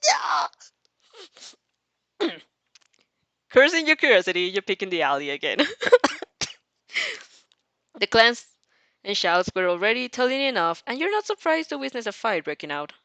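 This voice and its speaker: Animated woman reading a story aloud. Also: medium pitch, a little slow, creaky, pauses often, dry recording. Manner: animated, breaks off to react and restart sentences. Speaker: fan fiction reader and reactor. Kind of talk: expressive audio reading of fan fiction with casual asides.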